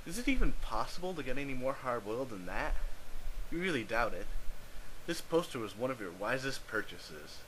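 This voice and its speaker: A man's voice: medium pitch, very animated, a little fast, pushed and bright, slight background noise, poor audio. A man narrating a scripted story, reading it aloud.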